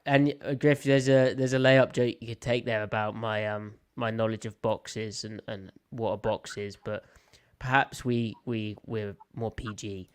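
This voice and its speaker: Young British male podcast host, smooth-voiced, a bit snuffly, playful and opinionated. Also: on the low side, flat delivery, measured pace. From United Kingdom. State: slight head cold, snuffly. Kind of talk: casual live podcast chat.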